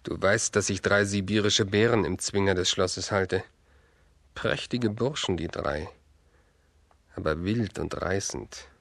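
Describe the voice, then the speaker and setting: An actor playing a cold, scheming grand duke in a children's radio play, deliberate and gloating. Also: male voice, deep voice, vocal fry, fairly bright tone, no room sound, exaggerated voice. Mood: gloating menace.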